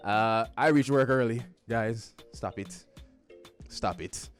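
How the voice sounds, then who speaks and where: Lively Jamaican man hosting radio. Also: mid-range voice, slow pace, faint music behind. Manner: lively, joking, animated patter with slang. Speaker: radio show host. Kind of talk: casual radio talk show chat. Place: radio studio.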